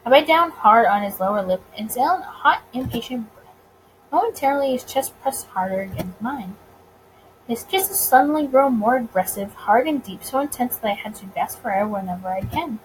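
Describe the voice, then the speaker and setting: A woman with a high voice reads a visual novel aloud as a let's player. Her delivery is expressive, with dramatic pauses, over clear recording noise. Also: low-quality recording. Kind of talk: expressive story reading with reactions.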